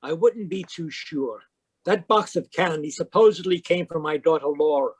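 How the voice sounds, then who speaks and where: Man voicing a crusty jittery old man, gruff, high voice, dry close mic. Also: moderate pace, no room sound. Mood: irritable. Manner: gruff, irritable, suspicious. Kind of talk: radio drama read live over video call. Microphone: home microphone on a video call.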